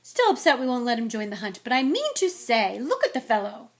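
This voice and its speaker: Big mocking ghost huntsman voiced by a woman, storybook character, booming, hearty, jovially needling. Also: sing-song, brisk.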